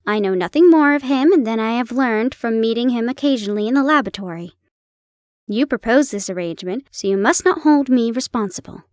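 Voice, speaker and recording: Friendly young hospital dresser, brisk and chatty, high and bright, read by a woman. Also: animated, no echo, clean studio sound, natural voice.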